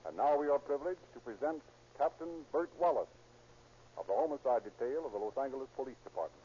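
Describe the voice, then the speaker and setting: A man's voice: medium pitch, lively intonation, fast. A man announcing a scripted advertisement in an emphatic, declamatory 1930s radio style. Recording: dull 1930s radio recording.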